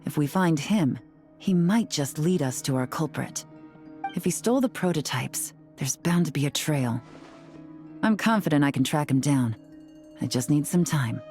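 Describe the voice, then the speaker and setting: Cool game investigator, low pitch, self-assured, direct, faint music behind. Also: female voice, animated, moderate pace, mellow. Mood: confident. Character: cool, confident, secretive female investigator. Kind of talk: video game character acting.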